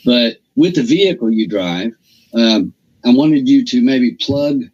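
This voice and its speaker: Casting: husky-voiced interview host, rambling and halting, dull lo-fi sound. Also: male voice, medium pitch, expressive, a little mellow. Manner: rambling, restarts, filler-heavy questions. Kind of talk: casual interview. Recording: dull, lo-fi audio.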